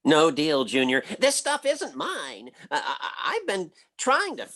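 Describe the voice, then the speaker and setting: A man's voice: fairly high pitch, wildly swooping pitch, unhurried, fairly bright tone, full character voice. A man playing a bumbling, wisecracking husband in an old-time radio comedy, with a hesitant, stammering, folksy delivery. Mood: teasing.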